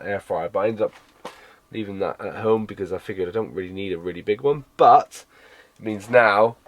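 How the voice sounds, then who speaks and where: Guy with a cold, raspy and lowish, just rambling on casually to the camera in his van. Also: slight background noise. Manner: relaxed, chatty, rambling asides. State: hoarse from a cold. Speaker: van life vlogger. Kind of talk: casual vlog chat to camera. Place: inside a camper van at a campsite.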